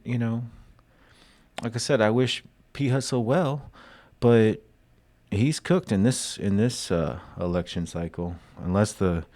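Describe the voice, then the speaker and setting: Laid-back low-voiced man joking around. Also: a little mellow. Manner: joking, drawling, slangy asides. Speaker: commentary host. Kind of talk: casual political commentary.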